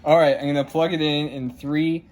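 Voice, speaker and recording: Man, high-pitched voice, playful host, casual unboxing chat, faint background noise. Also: little pitch movement, fairly quick, darkish tone. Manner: playful, jokey, addresses viewers and friends. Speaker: host of a radio unboxing video. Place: a room at home.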